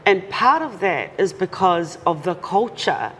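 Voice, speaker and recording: A woman's voice: fairly low pitch, animated, a little slow. A woman guest talking earnestly and carefully in an interview. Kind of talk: casual interview talk. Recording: long reverb, faint noise.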